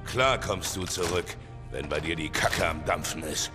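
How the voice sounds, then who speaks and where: A man dubbing a gruff older soldier father defending his home in a video game, with dry humor, over game music. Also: low voice, moderate pace, close to an everyday voice. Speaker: game character. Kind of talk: German game dub.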